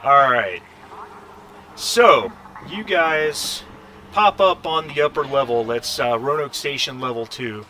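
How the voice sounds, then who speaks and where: A man narrating a tabletop role-playing game as game master, halting and conversational as he searches for words. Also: mid-range voice, animated, sharp tone, a little hiss or hum, low-quality recording.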